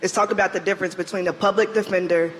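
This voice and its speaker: A man's voice: high-pitched, steady tone, rapid-fire, a bit bright. A man moderating a live festival panel, asking questions casually with fillers like "like.". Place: festival stage before an audience.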